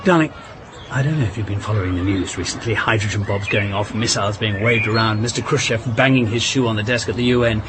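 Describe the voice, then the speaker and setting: An actor playing an urbane, persuasive English diplomat and husband in a radio drama. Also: male voice, on the low side, fast, close to an everyday voice. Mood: coaxing, grave. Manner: urbane, old-fashioned, hesitant when coaxing. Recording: clear noise behind the voice.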